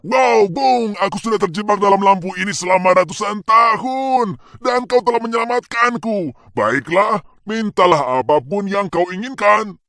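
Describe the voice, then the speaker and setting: A man voicing an exuberant, chummy genie in a children's storybook, loud and excited. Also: high-pitched, normal speed, no echo, voice pushed up. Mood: elated. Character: exuberant male lamp genie, grateful, breezy and loud.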